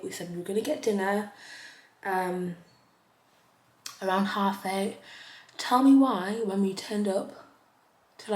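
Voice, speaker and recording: A woman, chatting in a casual vlog while doing her makeup. She rambles with lots of fillers and gets animated when she talks about something that surprised her. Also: medium pitch, roomy sound. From Leeds, northern England. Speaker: vlogger.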